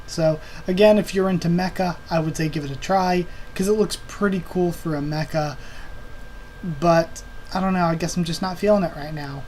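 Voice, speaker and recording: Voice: medium pitch, fairly even intonation, normal speed, a little mellow. Young host, home desk, casual rambling chat, fan hum underneath. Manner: rambling, with many ums and uhs. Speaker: anime video channel host. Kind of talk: casual season preview chat. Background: computer fan hum.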